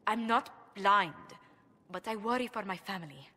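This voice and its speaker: A woman's voice: mid-range voice, animated, moderate pace, sharp tone. Game casting: dutiful young noblewoman diplomat, formal and precise, anxious beneath composure, mid-range voice in a reverberant hall. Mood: frustrated, worried. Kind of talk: video game cutscene acting.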